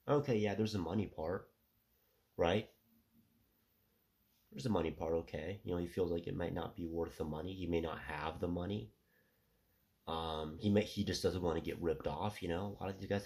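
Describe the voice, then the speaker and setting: A man in his thirties talking through a rambling casual monologue with a stuffy nose, filling every pause with "you know" and "right". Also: low-pitched, darkish tone. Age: 30s. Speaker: solo commentator.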